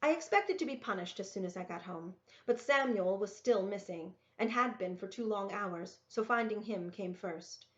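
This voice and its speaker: A woman reading a story aloud in an expressive storybook narration style. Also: mid-range voice, normal speed, few pauses. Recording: roomy, echoey, dull lo-fi sound.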